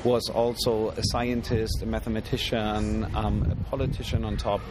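A man's voice: lowish voice, level tone, normal speed. Artist explaining his work outdoors. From Germany. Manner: thoughtful, hesitant, many ums. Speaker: artist, interview guest. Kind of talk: casual interview. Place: outdoors at an art fair. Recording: noisy outdoor recording.

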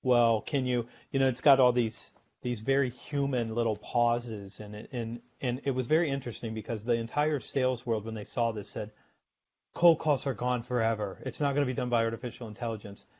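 A man's voice: medium pitch, fairly even intonation, fairly quick, darkish tone, dull audio. A man speaking as a guest expert in a podcast interview, explaining things conversationally in short, emphatic, instructive phrases.

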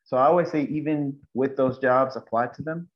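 A man's voice: medium pitch, fairly even intonation, fairly quick. A man speaks as a guest on a casual interview, giving earnest career advice as a designer and mentor. He talks loosely, restarting his sentences and leaning on "like". The audio is dull and lo-fi.

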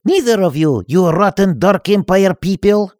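A man's voice: sits high, animated, moderate pace, a little mellow, no echo, clean studio sound, voice pushed up. A man voicing a cocky male nerf herder who taunts his enemies and laughs at them. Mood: taunting.

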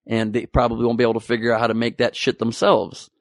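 A man's voice: medium pitch, completely flat delivery, fast talker, no room sound. A young man giving a comedic, profane monologue about the news with joking asides. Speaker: comedy commentary host.

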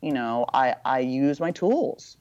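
A woman with a low voice, a podcast guest talking in a frank, irreverent, self-mocking way. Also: expressive, slow pace, a little mellow, no room sound. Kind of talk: candid casual conversation. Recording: dull lo-fi sound.

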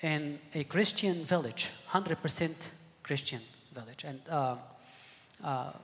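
A middle-aged man from Egypt delivers a sermon in a slightly high voice. He speaks earnestly, often doubling back and repeating words as he finds his way. His voice rings out with a long reverb, as in a large church hall. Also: slow, fairly bright tone. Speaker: pastor.

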